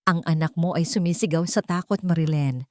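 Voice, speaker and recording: A woman's voice: low-pitched, brisk, dark tone, no echo, studio quality, natural voice. A woman voicing a protective grandmother in an audio drama, urgent and indignant. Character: protective, outraged grandmother confronting her family.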